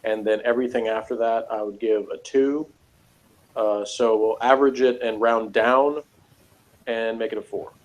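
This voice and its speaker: A man in a casual review chat, talking in a halting, rambling, opinionated and critical way. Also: medium pitch, steady tone, rough recording. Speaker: review show co-host.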